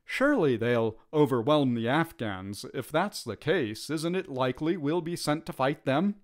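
A man's voice: fairly high pitch, expressive, normal speed, close to an everyday voice. A man voicing a cocky, ambitious British soldier, brash and casual, in a storybook. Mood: persuasive.

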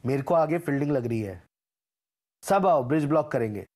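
A man's voice: medium pitch, lively intonation, moderate pace, darkish tone. A man delivering short scripted gaming callouts and catchphrases in a theatrical, punchy way. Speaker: gaming creator voicing a game voice pack.

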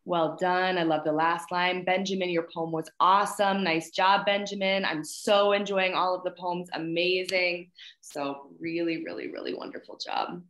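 A woman's voice: on the low side, steady tone, normal speed, fairly bright tone, reverberant. A woman in her thirties hosting a student poetry reading over a video call, with an encouraging manner. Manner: encouraging, praising. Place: plain indoor room, on a video call.

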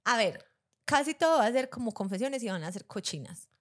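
A young woman talks casually as a podcast co-host. Her voice sits fairly high, and she laughs often between her lines. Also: very animated, brisk. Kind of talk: casual chat.

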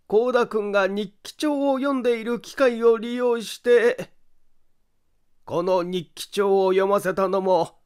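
An eerie male detective, acted by a male reader, speaks in a high voice at a very slow pace. Each phrase is deliberate and insistent, in the manner of a dramatic mystery audiobook. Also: vocal fry, heavily acted. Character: eerie, slow, low-spoken male detective laying out a crime. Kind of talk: dramatic audiobook character acting.

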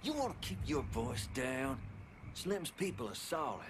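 A man's voice: medium pitch, big pitch swings, measured pace, background noise. An actor playing a blunt, foul-mouthed male gang thug in a video game. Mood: irritated. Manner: blunt, swearing. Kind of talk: video game dialogue acting.

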